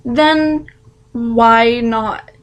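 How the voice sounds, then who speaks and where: A woman's voice: fairly high pitch, extremely slow, muffled recording. A woman co-hosting a casual show chat, talking with many fillers and restarts. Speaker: co-host reviewing a TV show.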